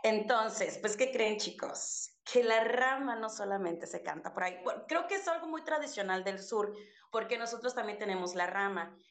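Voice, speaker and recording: A woman from Chiapas speaks with a Mexican accent, in a mid-pitched voice. She tells stories in a chatty, warm way with lots of fillers. Her voice echoes around a roomy space. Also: animated, normal speed. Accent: Mexican Spanish. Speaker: podcast guest voice. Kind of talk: casual storytelling chat.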